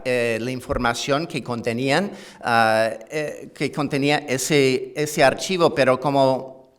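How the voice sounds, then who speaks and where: A man's voice: mid-range voice, fairly bright tone, clear, resonant tone. A middle-aged man, a university professor with an English-Canadian accent mixed with Andalusian Spanish, giving a conference lecture in his second language, with many "eh" fillers, restarts and dry self-deprecating humor. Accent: English-Canadian with Andalusian Spanish touches.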